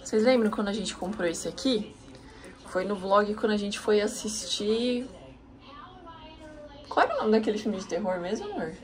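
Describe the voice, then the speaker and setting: A woman's voice: medium pitch, lively intonation, moderate pace, pauses often. A woman in her thirties chatting casually to viewers while filming herself in a hotel room. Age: 30s. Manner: chatty, exclaiming, addresses viewers. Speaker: vlogger. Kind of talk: casual vlog chat to camera. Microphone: handheld camera.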